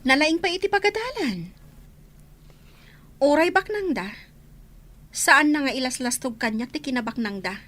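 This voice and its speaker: A woman's voice: sits high, lively intonation, normal speed, long pauses, fairly bright tone. A woman voicing a frank, principled young female student in an Ilocano radio drama. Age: young adult. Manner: blunt, frank.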